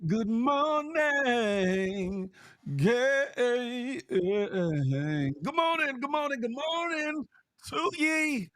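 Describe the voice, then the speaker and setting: A man hosting a live morning show with a scratchy, strained voice. He talks in a lively, encouraging way, with big swoops in pitch and a preacher's ring. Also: drawn-out words, dry recording, clean studio sound.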